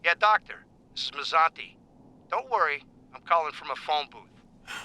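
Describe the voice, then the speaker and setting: A man acting as a tough, sardonic investigator in an audio thriller, with a gruffly casual manner. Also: fairly high pitch, expressive, normal speed, bright, forceful tone. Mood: brisk, irritated. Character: tough, sardonic male investigator tailing a suspect.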